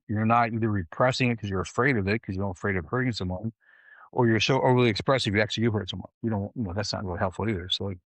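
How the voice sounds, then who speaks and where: A man's voice: on the low side, a bit bright, no room sound, low-quality recording. A man coaching clients, talking in a loose, rambling way with many fillers. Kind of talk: casual conversation.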